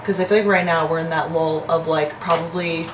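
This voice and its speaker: A woman's voice: sits low, fairly even intonation, a little fast. A young woman in her twenties chatting casually to the camera as a lifestyle vlogger, full of "like" fillers and upbeat asides. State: slightly hoarse. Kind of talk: casual vlog chat to camera. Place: apartment kitchen, indoors. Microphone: camera on counter, some distance. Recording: roomy apartment echo, distant camera mic, background hum.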